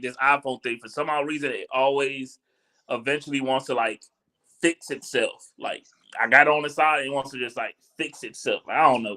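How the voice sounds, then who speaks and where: A man's voice: mid-range voice, sharp tone. A man hosting a gaming podcast, teasing his co-host and joking around in casual chat. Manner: joking, teasing, laughs a lot.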